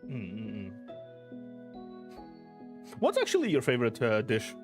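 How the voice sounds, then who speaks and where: A man hosting a casual stream chat, telling stories with animated delivery, acting out sounds, and laughing a lot. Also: mid-range voice, slow pace, music in the background. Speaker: host.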